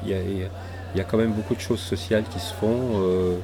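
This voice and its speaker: A man's voice: low voice, little pitch movement, fast, vocal fry. A middle-aged man from southwest France, talking in a rambling, hesitant way as an interview guest. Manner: hesitant, many fillers and restarts. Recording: noisy crowd around.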